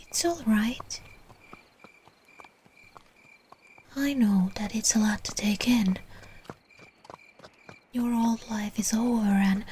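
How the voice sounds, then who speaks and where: A woman playing a caring, soothing vampire owner in an ASMR roleplay, speaking in a soft, breathy whisper with tender reassurance. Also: on the high side, slight background noise.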